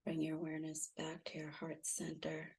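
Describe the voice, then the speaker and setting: Woman, deep relaxed voice, guided meditation, gentle instructions, long pauses. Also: vocal fry, husky. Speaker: meditation guide, intuitive healer.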